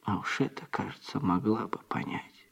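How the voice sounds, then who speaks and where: An actor playing a footloose, self-satisfied womanizer and odd-job man in a Soviet radio drama, speaking colloquially in a husky voice. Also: fairly low pitch, normal speed, lo-fi sound. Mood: grumbling, self-pitying.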